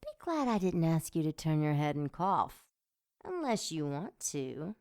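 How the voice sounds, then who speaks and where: A woman's voice: low voice, sing-song, measured pace, darkish tone, exaggerated voice. A woman playing a seductive, domineering demon in an audio drama, with a sultry, mocking delivery. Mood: sadistic, sultry. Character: seductive, sadistic female demon, taunting and domineering.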